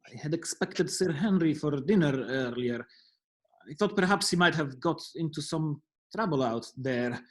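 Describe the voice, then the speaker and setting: A man's voice: on the high side, expressive, normal speed, natural voice. A man playing a sinister villain who feigns concern, arch and falsely sympathetic, in a comic amateur radio play. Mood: menacing.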